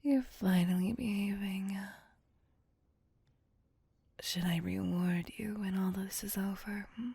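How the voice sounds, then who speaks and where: Slow, husky, whispering vampire seductress played by a woman. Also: medium pitch, steady tone, warm tone, no room sound, exaggerated voice. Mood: soothing, possessive. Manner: slow, teasing, theatrical purring menace. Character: seductive, possessive female vampire, menacing yet doting lover. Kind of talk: ASMR roleplay, intimate whispered acting.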